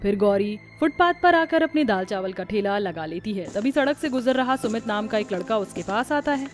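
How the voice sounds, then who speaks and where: A woman's voice: mid-range voice, expressive, moderate pace, soft background music. A woman narrator reading a scripted family story for a cartoon.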